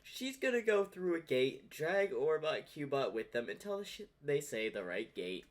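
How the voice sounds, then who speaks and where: A man's voice: on the high side, very animated, moderate pace, background noise. A man giving casual, off-the-cuff reaction commentary as the host of a video.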